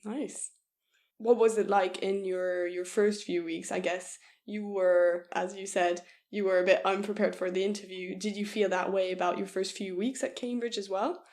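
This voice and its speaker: A woman hosting a casual video call interview, asking short, relaxed questions and backing the guest up. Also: mid-range voice, moderate pace. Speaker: interviewer, host.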